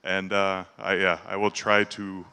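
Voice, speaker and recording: A man with a low voice giving his first conference talk, hesitant and full of fillers, holding the mic close. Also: completely flat delivery, measured pace, sharp tone, clean tone, long reverb tail. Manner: hesitant, many fillers and restarts. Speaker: conference speaker. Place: large conference hall. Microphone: handheld mic held close.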